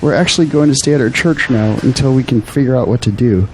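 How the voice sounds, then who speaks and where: A man's voice: medium pitch, fast talker, a little hiss or hum, close to an everyday voice, rough recording. An actor playing an earnest, desperate young man, pleading politely in an audio drama. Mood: anxious pleading. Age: young adult.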